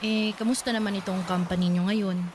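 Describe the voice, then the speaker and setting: A woman's voice: medium pitch, fairly even intonation, brisk, music in the background, lightly acted. A woman with a Filipino accent playing a friendly, teasing young barista in a radio drama. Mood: friendly, chatty. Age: 20s. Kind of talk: naturalistic Tagalog radio drama acting.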